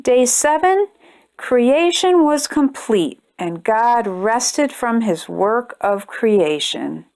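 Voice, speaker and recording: A woman hosting a children's storytime, reading a picture book aloud with expressive, sing-song storytelling. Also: fairly high pitch, very slow. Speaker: storytime host.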